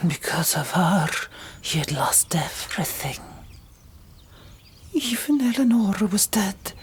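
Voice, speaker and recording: Whispering woman reading a story slowly. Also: on the low side. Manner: deliberate, dramatic storytelling. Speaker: audiobook narrator. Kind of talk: whispered ASMR story narration.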